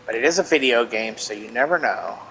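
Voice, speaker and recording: A man's voice: medium pitch, expressive, a little fast, fairly bright tone, slight background noise, low-quality recording. A man giving casual, self-deprecating let's play commentary, chatting half to himself. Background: game music.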